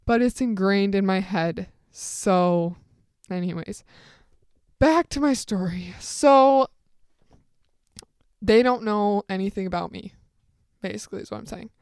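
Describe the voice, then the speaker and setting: Chatty teenage girl with a smooth voice. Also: unhurried, long pauses, darkish tone, no room sound, studio quality. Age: teens. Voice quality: fairly deep voice for a woman. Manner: rambling, chatty, full of asides. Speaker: podcast host. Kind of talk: casual solo storytelling.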